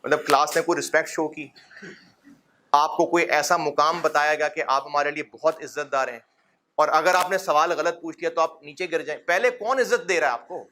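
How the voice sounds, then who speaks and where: Middle-aged man, accounting lecturer: bright, forward voice, pitched a touch high, coaxing and fatherly with the class. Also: a little fast. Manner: fatherly and encouraging, addresses students as son; rhetorical questions, gentle humor.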